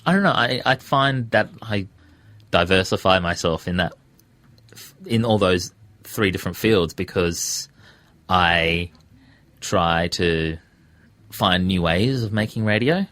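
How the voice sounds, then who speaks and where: A man's voice: low voice, slow pace, a bit bright, dry recording. A man with an Australian accent talking casually as an interview guest, often hesitating and correcting himself as he works through his thoughts. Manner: hesitant, self-correcting, discursive. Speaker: interview guest, radio presenter and podcaster.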